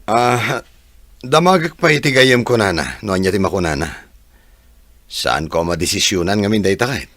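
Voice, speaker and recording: A man's voice: lowish voice, lively intonation, moderate pace, long pauses, fairly bright tone, dry recording. A man playing an easygoing, evasive engineer and boss in an audio drama, with a hesitant manner. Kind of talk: Filipino audio drama acting.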